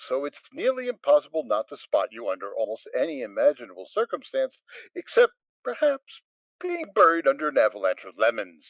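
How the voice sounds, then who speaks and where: A man narrating a dramatic reading of a fan-fiction story, telling it in a wry, expressive way. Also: medium pitch, dry recording, dull audio.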